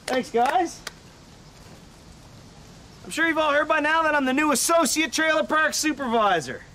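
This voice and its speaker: Pompous park supervisor, blustery, high bright voice, faint outdoor noise. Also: male voice, expressive, moderate pace, exaggerated voice. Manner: blustery, self-important speechmaking. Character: pompous shirtless new park supervisor, middle-aged man. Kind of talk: mockumentary comedy acting. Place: outdoors at a trailer park picnic.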